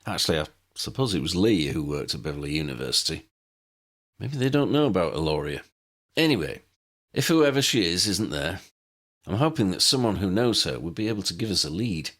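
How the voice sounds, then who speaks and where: Male narrator as magical investigator, low voice, matter-of-fact, casual, thinking aloud, storybook dialogue. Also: very animated, fairly quick, a bit bright, heavily acted.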